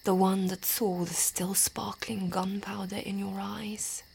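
A woman in an audio drama speaking in a soft, intimate whisper. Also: mid-range voice, little pitch movement, unhurried, a little hiss or hum. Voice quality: breathy.

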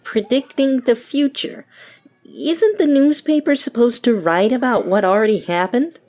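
A woman's voice: a little high, measured pace, darkish tone, natural voice. A woman playing a weary, guarded, sceptical young woman in an audio drama, with a dry, curt, questioning manner.

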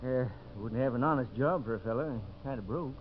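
A man's voice: mid-range voice, fairly quick, a little hiss or hum, close to an everyday voice. An actor playing a folksy frontier gunman and woodsman with a drawling manner in a 1950s radio drama. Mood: laid-back. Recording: muffled old radio recording with crowd.

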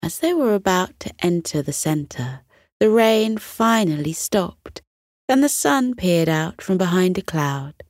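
Female bedtime story narrator: soothing, deliberate, lively melodic range, slightly low pitch, dry recording. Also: dry recording.

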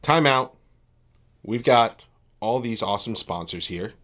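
A man's voice: medium pitch, lively intonation, a little slow, fairly bright tone. A man co-hosting a casual podcast, rambling and full of restarts as he reads sponsors and chats with a guest. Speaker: podcast co-host. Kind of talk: casual chat.

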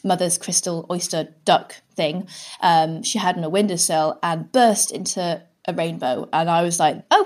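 A woman's voice: medium pitch, darkish tone. A woman with a British accent speaking casually as an interview guest, chatty and candid, explaining things through personal examples. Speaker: guest, scientist and writer.

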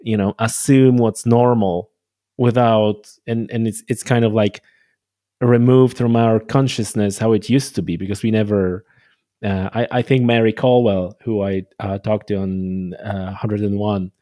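A man's voice: on the low side, steady tone, a little slow, mellow. A man from Poland, hosting a podcast interview, eager and rambling with frequent restarts. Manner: enthusiastic, rambling, many restarts. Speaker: podcast host. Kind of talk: conversational interview.

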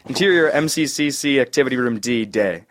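A man's voice: medium pitch, fairly quick, a bit bright. A man reading stage directions at an informal screenplay table read in clipped, matter-of-fact phrases.

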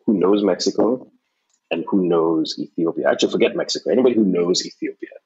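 Very deep, husky man from Nigeria. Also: fast talker, darkish tone. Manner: frank, confident, chuckling. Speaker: company founder. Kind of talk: interview answers. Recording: dull lo-fi audio.

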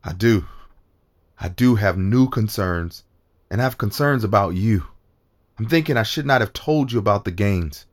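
A man's voice: on the low side, lively intonation, moderate pace, lightly acted. A man acting in an audio drama as a strong, secretive rebel politician, confiding earnestly in his lover. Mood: worried.

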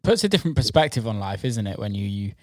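A man's voice: fairly low pitch, sing-song, quick pace, a little mellow. A man hosting a podcast, asking curious questions in an easygoing, casual way. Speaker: podcast host.

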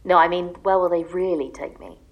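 A woman's voice: lowish voice, a little fast, lo-fi sound, close to an everyday voice. A woman in an audio drama playing a wary, reluctant client, speaking naturalistically in a hesitant, sceptical way. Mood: suspicious. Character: wary, reluctant female client fleeing her old life.

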